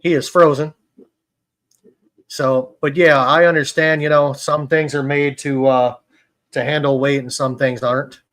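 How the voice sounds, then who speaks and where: A man hosting a casual live stream about fishkeeping, talking in a relaxed, rambling way with many fillers. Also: fairly high pitch, unhurried. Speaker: host.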